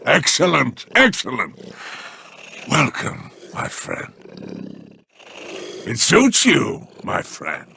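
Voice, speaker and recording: An actor playing a smug, scheming male alien crime boss in a video game, with a deep gravelly growl and a slow, mockingly polite manner. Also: wildly swooping pitch, sharp tone, a little hiss or hum, full character voice. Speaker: merchant boss.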